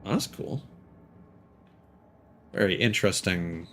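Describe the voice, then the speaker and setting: A man's voice: deep voice, slow pace, fairly bright tone, slight background noise. A young man streaming a game, chatting casually and thinking aloud as he plays. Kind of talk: live gameplay commentary.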